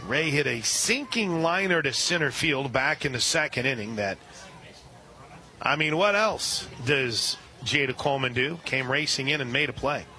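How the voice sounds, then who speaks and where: A man calling a softball game on the radio, describing each pitch and reading sponsor spots between plays. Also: animated, normal speed. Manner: rises sharply and loud on big plays. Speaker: radio play-by-play announcer. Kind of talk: live softball play-by-play.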